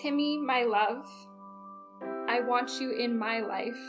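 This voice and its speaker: Actress as high-voiced, lovestruck young woman. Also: slow pace. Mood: tender, nervous. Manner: gushing, hesitant, self-deprecating. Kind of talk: improvised soap-opera acting. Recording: loud piano music behind.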